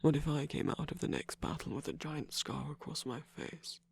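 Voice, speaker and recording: A performer of unclear sex playing an affectionate, battle-scarred villain lover in an intimate ASMR roleplay, tender, teasing and soft-spoken, with a breathy, husky voice. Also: medium pitch, normal speed, heavily acted. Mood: earnest, coaxing.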